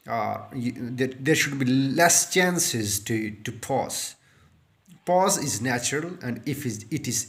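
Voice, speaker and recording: A man in his thirties whose first language is Urdu, giving an unscripted speaking-test lesson into a phone held close, earnest and repetitive, full of fillers and restarts. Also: mid-range voice. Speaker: IELTS speaking tutor. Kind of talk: unscripted tutorial monologue to camera. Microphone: phone held close, front camera.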